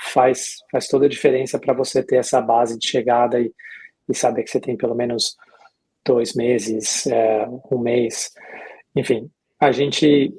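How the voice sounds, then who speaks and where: A middle-aged man with a Brazilian Portuguese accent, speaking as an interview guest in a casual, reflective way, pausing and repeating himself as he recounts his experience. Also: medium pitch, darkish tone, husky, low-quality recording. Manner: reflective, hesitant with pauses and repetitions. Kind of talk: casual conversational storytelling.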